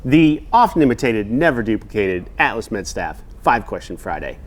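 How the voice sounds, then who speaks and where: A man hosting a short company interview segment, asking questions in an upbeat, animated way. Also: lowish voice, moderate pace. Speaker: host of company interview series.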